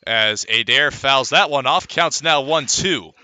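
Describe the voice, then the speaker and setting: A man calling live baseball play-by-play as a radio announcer, filling the gaps between pitches with measured runs of stats. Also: sharp tone. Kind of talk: live sports play-by-play commentary.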